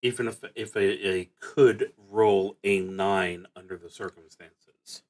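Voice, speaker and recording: A man playing a solo tabletop roleplaying game on a stream, reading prompts aloud and thinking out loud in a halting, musing way. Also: deep voice, fairly even intonation.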